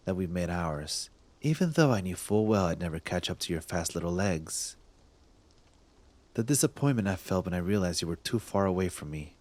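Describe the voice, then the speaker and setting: A man playing a smug cop secretly smitten with a thief in an intimate ASMR roleplay, teasing and halting, sometimes dropping to a breathy murmur. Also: low voice, a little mellow. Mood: wistful, smitten. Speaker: voice actor in audio roleplay. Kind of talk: ASMR roleplay acting, addressed to the listener.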